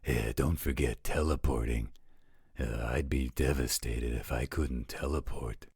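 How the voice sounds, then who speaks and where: A good-natured werewolf in a fantasy roleplay. The voice is extremely low, heavily husky and gravelly, yet the manner is casual, friendly and a little bumbling. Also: male voice, fairly even intonation, a little slow, darkish tone, no room sound, clean studio sound, full character voice. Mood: earnest. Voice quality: raspy, gravelly.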